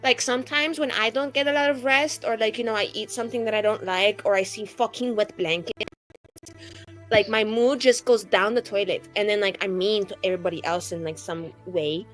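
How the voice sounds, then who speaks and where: A woman's voice: mid-range voice, fairly even intonation, brisk, faint music behind, close to an everyday voice, low-quality recording. A woman voicing a brash, foul-mouthed young female mage of twenty-two in a rambling, dramatic tabletop roleplay. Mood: petulant. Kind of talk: improvised in-character roleplay.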